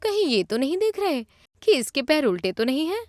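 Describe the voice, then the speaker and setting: A woman voicing a sly witch disguised as a quiet new bride in an animated story. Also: high voice, fairly quick, dry recording, clean studio sound, pitched above the performer's own voice. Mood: sly, probing.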